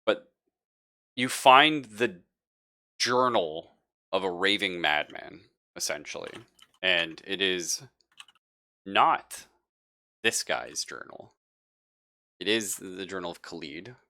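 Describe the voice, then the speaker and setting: A man's voice: low voice, expressive, takes it slowly, long pauses, bright, forceful tone, dry recording. A man narrating a tabletop horror game as game master in a conversational, emphatic, improvised way. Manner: conversational, emphatic, often paraphrasing.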